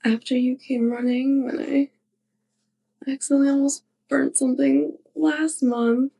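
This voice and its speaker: A sheepish young witch next door , acted by a woman, speaks softly and slowly in an intimate ASMR roleplay. Her voice is fairly high with a creaky, crackling edge. She talks haltingly and fondly, as if confiding in her neighbor. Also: fairly even intonation. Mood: sheepish, amused. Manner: hesitant, halting, giggly. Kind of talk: soft ASMR roleplay monologue to the listener.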